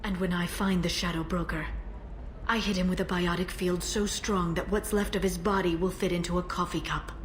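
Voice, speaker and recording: An actress playing a composed, determined female information broker in a sci-fi video game, measured and earnest. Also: lowish voice, steady tone, close to an everyday voice. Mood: quietly resolute. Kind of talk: scripted video game dialogue. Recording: noisy ambient background.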